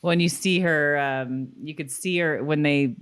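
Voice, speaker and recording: A woman's voice: low-pitched, unhurried, clean tone. A woman chatting casually as co-host of a podcast that recaps TV episodes.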